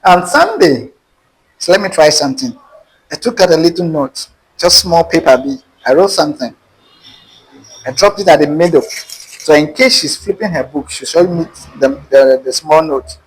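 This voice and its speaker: A man's voice: on the high side, normal speed, husky, rough recording. A man with a Nigerian accent, a guest animatedly telling a personal dating story and acting out the dialogue. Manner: animated, acts out quoted dialogue. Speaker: interview guest. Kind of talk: casual storytelling.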